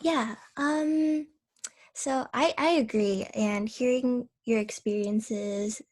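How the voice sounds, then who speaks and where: A woman writer talking on a video call in a soft, thoughtful, measured way about her own piece. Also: on the high side. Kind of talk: reflective personal talk.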